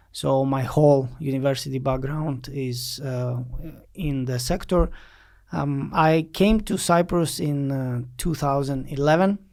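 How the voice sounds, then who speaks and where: A man with a Greek accent talks about his work as an interview guest. His voice is creaky and mid-pitched. He speaks haltingly, filling the gaps as he searches for phrasing. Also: a little mellow. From Greece. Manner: halting, hesitant phrasing with frequent fillers. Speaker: podcast guest, finance company director. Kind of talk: interview answers recounting a career.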